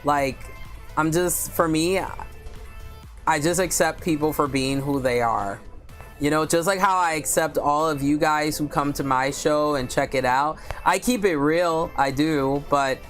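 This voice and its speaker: A woman with a deep voice hosting a casual book livestream, chatting candidly with viewers and reading their comments aloud. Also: soft background music. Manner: speaks slowly with many fillers.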